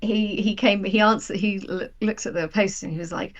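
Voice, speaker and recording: A woman's voice: medium pitch, steady tone, moderate pace, dull audio. Chatty woman writer in casual interview. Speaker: guest, audio drama writer.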